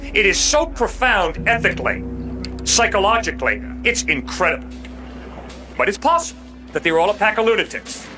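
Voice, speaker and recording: A man with a distinctly high voice argues like an impassioned street apologist. He is emphatic and colloquial, leaning on rhetorical questions. Music plays clearly behind him. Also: big pitch swings, moderate pace. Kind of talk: impassioned open-air apologetics debate.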